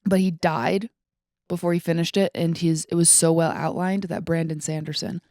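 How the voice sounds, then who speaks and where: Low-voiced young woman with vocal fry. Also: little pitch movement, moderate pace, a little mellow, no room sound, clean studio sound. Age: 20s. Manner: informal, peppered with "like". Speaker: podcast co-host. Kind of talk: casual podcast chat about books.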